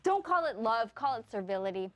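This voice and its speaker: A woman's voice: on the high side, sing-song, fairly quick, a bit bright, dry recording. A woman playing a sardonic, defiant member of a traveling acting troupe in a mocking, theatrical manner. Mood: indignant. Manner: mocking, dramatic. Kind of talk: theatrical stage play acting.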